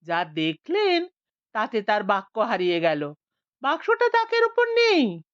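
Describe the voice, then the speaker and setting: A woman reads a story aloud with dramatic expression. Her voice sits somewhat high and moves in a lively, animated way. The recording is close and dry with a slightly dull, lo-fi sound. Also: unhurried, clear, resonant tone, no echo. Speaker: narrator. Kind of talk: audiobook storytelling.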